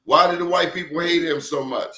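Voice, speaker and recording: A man's voice: on the high side, fairly even intonation, a little fast, pushed and bright. Emphatic male host in an echoey room. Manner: emphatic, preachy storyteller. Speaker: show host. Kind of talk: casual interview talk.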